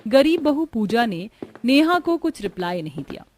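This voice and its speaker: A woman's voice: a little high, big pitch swings, moderate pace, darkish tone, slight background noise. A woman reading scripted narration for a family drama cartoon story. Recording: music bed behind the voice. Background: background music.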